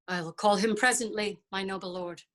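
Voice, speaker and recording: A woman's voice: mid-range voice, fairly even intonation, fairly quick, a bit bright, natural voice. A woman playing a scheming, outwardly dutiful English nobleman in classical verse. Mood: grave. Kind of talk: classical verse stage acting. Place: remote staged reading over video call.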